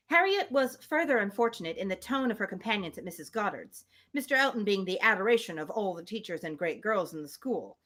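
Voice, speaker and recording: Woman, animated intonation, live book reader who drifts between narration and informal, self-interrupting chat. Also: a little high. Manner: chatty, self-interrupting asides to listeners. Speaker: livestream host reading a novel aloud. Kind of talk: live read-aloud of a classic novel with casual asides.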